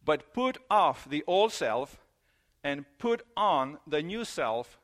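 A man preaching a sermon in English, his second language, earnest and repeating key phrases, slowing down for emphasis. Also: a little high, sing-song, a bit bright. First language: not English. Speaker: pastor.

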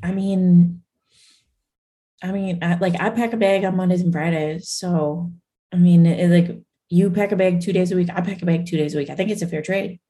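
Chatty low-voiced woman co-host. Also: fairly even intonation, normal speed, dark tone. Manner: chatty, full of fillers and restarts. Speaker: podcast co-host. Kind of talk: casual chat.

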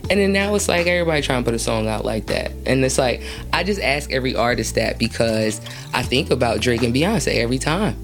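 A woman's voice: extremely low pitch, lively intonation, brisk, soft background music. A woman hosting a casual interview, chatty and slangy, asking long, rambling questions and laughing easily. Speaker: interview host.

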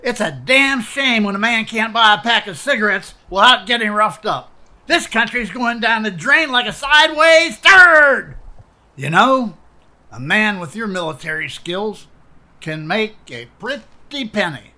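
A man voicing a cantankerous, foul-mouthed elderly male war veteran in an audiobook, gruff and ranting. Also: sits high, animated, unhurried, bright, forceful tone, voice pushed up, exaggerated voice. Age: older. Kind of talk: audiobook character voice.

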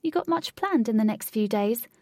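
A woman's voice: a little high, lively intonation, moderate pace, a little mellow, dry recording, studio quality, voice pushed up. A woman voicing a frank, level-headed young woman with a casual manner, in a story. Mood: advising. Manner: casual, matter-of-fact.

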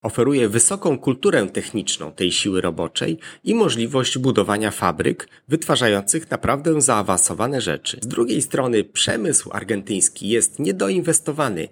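A man presenting a scripted explainer in a deliberate, point-by-point manner. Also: mid-range voice, vocal fry, husky.

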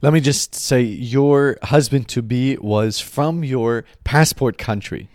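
A man's voice: mid-range voice, slow pace, a little mellow, no room sound, clean studio sound. Reflective male podcast host. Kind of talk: podcast interview.

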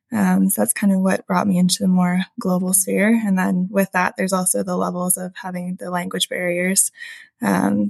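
A woman's voice: medium pitch, creaky, dry recording. A woman from Canada, a nurse guest, speaking hesitantly with many fillers. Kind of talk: conversational podcast answer.

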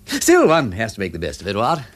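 An actor playing an affable, rambling aristocratic English gentleman detective who feigns silliness, chatty and self-deprecating in a period radio drama. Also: fairly low pitch, wildly swooping pitch, fairly quick. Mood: breezy, rambling. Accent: upper-class English.

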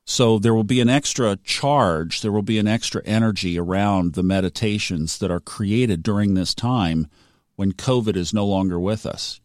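A low-voiced man hosts a casual podcast chat, recorded close and dry with no room sound. He talks earnestly and with upbeat warmth as he reflects on a point. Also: no echo. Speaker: podcast host.

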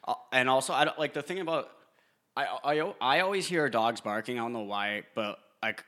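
A man's voice: mid-range voice, brisk, bright, forceful tone. A young man co-hosting a podcast, rambling through casual topics with fillers and dry jokes. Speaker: podcast co-host. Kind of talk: casual chat.

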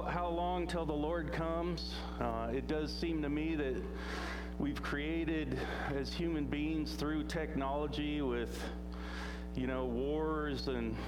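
A man's voice: a little high, noisy background. A man preaching an informal sermon in a church, talking in a loose, rambling way with plenty of fillers and restarts. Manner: says he has a loud voice. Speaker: pastor.

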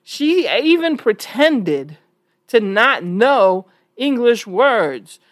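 A woman's voice: on the high side, sing-song, extremely slow, no room sound, clean studio sound. A woman from New York with an American accent, giving casual opinion commentary in an emphatic, conversational, questioning way. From New York, United States. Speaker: online commentator.

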